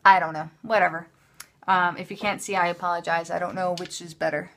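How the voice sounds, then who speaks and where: A woman's voice: fairly low pitch, steady tone, fairly quick, a bit bright, slight background noise. A woman talking casually and off the cuff, chatty and offhand, often interrupting herself as she shows items. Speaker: craft haul vlogger. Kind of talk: casual unscripted show-and-tell. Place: indoors at a craft table.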